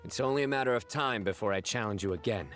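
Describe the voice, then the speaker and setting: An actor playing a composed, theatrical young warrior scholar in a video game, speaking in a formal, deliberate, deadpan way. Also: male voice, fairly low pitch, close to an everyday voice. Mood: solemn challenge. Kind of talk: animated video game acting.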